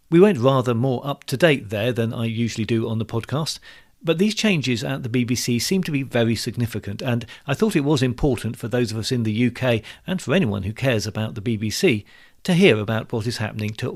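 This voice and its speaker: Gravelly British man, scripted podcast narration. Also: mid-range voice, expressive, a little fast. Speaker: podcast host.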